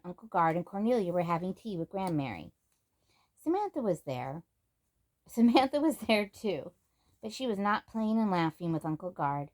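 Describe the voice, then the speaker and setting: A woman reading a children's storybook aloud as an expressive narrator. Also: fairly low pitch. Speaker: storybook reader.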